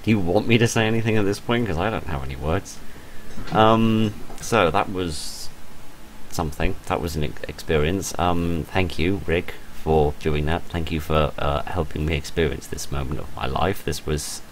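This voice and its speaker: Deep-voiced British man, theatrical game commentary. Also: moderate pace, a little hiss or hum, rough recording. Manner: theatrical, incredulous, hesitant asides. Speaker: host of a game playthrough. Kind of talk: gaming commentary, reading visual novel text aloud with ad-libbed reactions. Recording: music under the voice. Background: background music.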